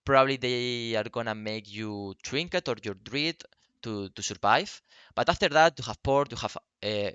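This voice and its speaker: A man coaches through game strategy in non-native English. His voice is bright and forward, recorded very dry. He explains step by step in a halting, unscripted way, often checking that the listener follows. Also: medium pitch, clean tone, no echo, lo-fi sound. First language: not English.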